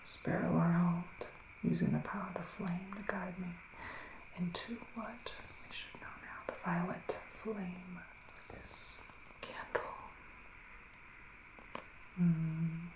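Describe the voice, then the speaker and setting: A man playing a solemn psychic male witch in a soft-spoken, half-whispered ASMR roleplay, deliberate and full of breathy pauses. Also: high-pitched, steady tone, echoey room, poor audio. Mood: coaxing, hushed. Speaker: ASMR performer.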